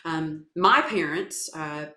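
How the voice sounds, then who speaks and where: A woman from South Carolina with a naturally low voice, giving thoughtful, hesitant interview answers full of fillers and restarts. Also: slow, bright, forceful tone. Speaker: guest, voice coach and actor. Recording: echoey room.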